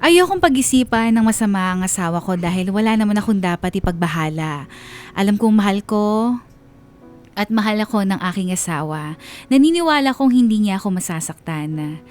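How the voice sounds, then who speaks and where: A wistful, devoted wife in her thirties, played by a woman, narrating her own story. The pitch is medium and a soft music bed runs behind this dramatized radio confession. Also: lively intonation, brisk, close to an everyday voice. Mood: wistful, troubled. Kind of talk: dramatized first-person letter narration.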